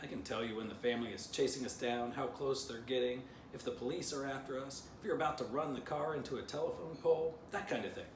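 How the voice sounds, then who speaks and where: A man voicing an eager, rambling pet dog in a children's storybook, begging excitedly. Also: mid-range voice, fast talker, few pauses, pitched above the performer's own voice, lightly acted. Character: excitable, pleading, window-loving male pet dog. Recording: distant mic, roomy, noisy.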